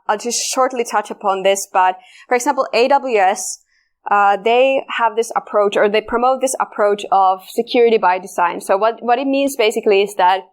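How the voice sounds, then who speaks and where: A woman with a Finnish accent giving a conversational technical talk at a security conference, with frequent fillers and restarts. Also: medium pitch. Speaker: conference speaker. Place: conference room.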